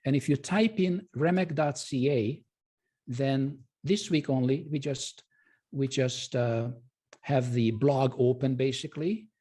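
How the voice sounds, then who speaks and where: A man from Hungary, presenting an informal live webinar in a courteous, halting, self-correcting way. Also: medium pitch, mellow, husky. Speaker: presenter and trading educator.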